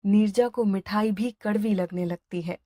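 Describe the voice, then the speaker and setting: A woman's voice: medium pitch, moderate pace, warm tone, no room sound. Woman narrating a story, dry sound. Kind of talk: scripted dramatic story narration.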